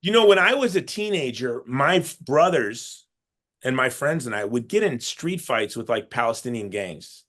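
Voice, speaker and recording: A man's voice: mid-range voice, moderate pace. A middle-aged man from Chicago, talking casually as a guest and telling stories with lots of restarts. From Chicago south suburbs. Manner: animated, loose storytelling with frequent restarts. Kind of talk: casual conversation.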